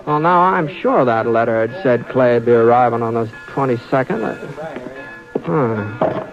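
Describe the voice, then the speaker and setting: A man playing a halting, laconic frontier rancher. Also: medium pitch, faint music behind, lightly acted. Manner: hesitant, halting with many uh's and restarts. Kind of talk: 1950s radio drama acting. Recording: hissy, muffled 1950s radio recording.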